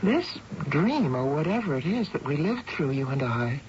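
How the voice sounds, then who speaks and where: An actress playing a devoted wife, earnest and full of wonder, in a radio drama. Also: female voice, sits low, sing-song, moderate pace, background noise. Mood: wonderstruck, earnest. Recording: dull radio broadcast with music.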